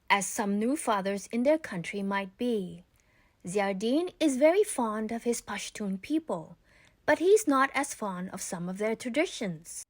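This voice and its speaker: A woman narrating a children's picture book aloud in a measured, expressive reading style. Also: on the high side, dry recording, studio quality. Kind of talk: scripted storybook narration.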